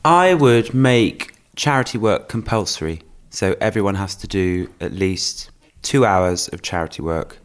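A man's voice: fairly low pitch, animated, a little slow, hardly any pauses, clean tone. A man co-hosting a podcast in casual chat, giggly and playful with frequent laughs. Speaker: podcast co-host.

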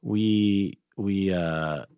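A man with a low voice interviews as a podcast host in a dry studio. His delivery is informal and conversational, with questions that he rephrases as he goes. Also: very slow, dark tone, smooth, no echo. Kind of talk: casual interview chat.

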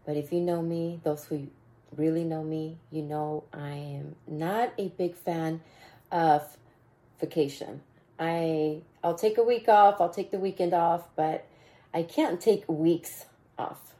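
A raspy-voiced woman hosts her podcast in an unhurried, easygoing way. Her voice sits on the low side, and she often repeats a phrase as she coaches her listeners. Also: steady tone. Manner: warm mentoring tone, repeats phrases, bursts of laughter. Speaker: podcast host. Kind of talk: casual motivational podcast talk.

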